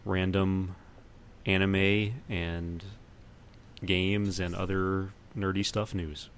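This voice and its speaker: A man hosting a podcast in casual chat, often pausing partway through his sentences. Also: low voice, flat delivery. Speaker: podcast host. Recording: noticeable background noise.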